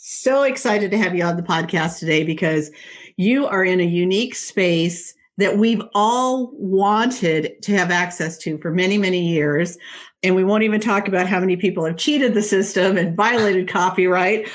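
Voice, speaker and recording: A woman hosting an interview podcast, enthusiastic and quick to laugh along. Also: on the low side. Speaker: podcast host.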